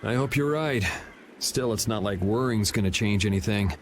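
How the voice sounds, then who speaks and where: Lowish, laid-back young man voiced by actor. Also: moderate pace, a little hiss or hum, close to an everyday voice. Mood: cool, matter-of-fact. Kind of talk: English video game voice acting.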